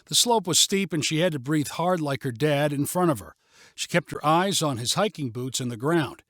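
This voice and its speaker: A man's voice: on the high side, lively intonation, normal speed, a bit bright, no echo, clean studio sound. A man narrating a story in a scripted audiobook style.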